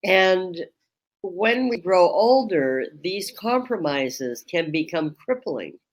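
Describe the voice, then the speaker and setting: A woman Reiki teacher hosting a webinar, with a strong voice that carries across a room. She talks in a slow, measured way and pauses often with ums. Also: on the low side, big pitch swings. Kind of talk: reflective webinar talk. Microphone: webinar microphone.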